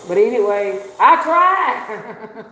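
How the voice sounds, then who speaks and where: A woman with a soft but hoarse, congested voice, chatting casually and jokingly to her viewers as a spiritual reader. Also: a little high, very animated, very slow. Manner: rambling, joking, addresses viewers as y'all. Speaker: rootworker and spiritual reader hosting her channel. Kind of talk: casual chatty livestream talk. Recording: very echoey room, faint background hiss.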